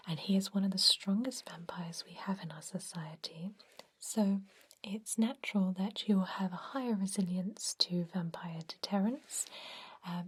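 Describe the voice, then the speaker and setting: A woman voicing a gentle, reassuring centuries-old vampire doctor in a soothing, breathy whisper, in an ASMR roleplay. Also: on the low side. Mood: impressed, calm. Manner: soothing, unhurried, attentive bedside explanations. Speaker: doctor examining a patient.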